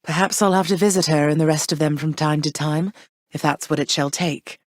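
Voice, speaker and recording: An actress playing a warm yet firm, gently wry mother goddess in a video game, speaking in measured, formal, old-fashioned phrases. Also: low-pitched, fairly even intonation, no room sound. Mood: purposeful.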